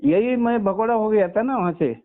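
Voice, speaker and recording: A man's voice: sits high, moderate pace. A man of sixty-one from Maharashtra, giving a personal account as a caller, deferentially addressing the listener as sir. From Maharashtra, India. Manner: deferential, constantly says sir. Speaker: caller, former soldier. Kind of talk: personal account on a phone call. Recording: tinny narrowband phone call.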